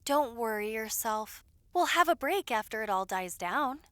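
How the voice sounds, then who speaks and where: A caring young lady's maid played by a woman talks in a high, bright voice. She is loyal and attentive, fussing over her charge with familiar, gentle scolding. It is audio roleplay acting, recorded dry. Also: animated, moderate pace, no echo. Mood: reassuring.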